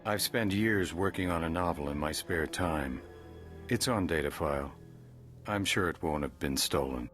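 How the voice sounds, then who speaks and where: A man's voice: rumbling low, moderate pace, a bit bright, soft background music. A man playing a self-important refugee in a video game. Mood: earnest, proud. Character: self-important male refugee, aspiring novelist.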